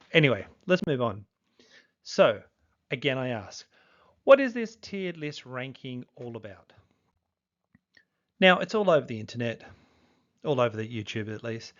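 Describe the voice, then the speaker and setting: Man, 50, younger-sounding voice, mid pitch, chatty rambling vlogger, slightly muffled lo-fi recording. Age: 50s. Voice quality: youthful-sounding voice. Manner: rambling, many fillers and restarts. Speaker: true crime YouTuber. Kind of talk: casual video commentary.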